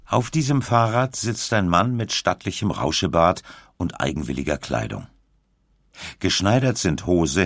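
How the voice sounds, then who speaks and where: A man reading scripted documentary narration in a measured, clearly articulated way. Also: low voice, no echo, studio quality. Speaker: audiobook narrator.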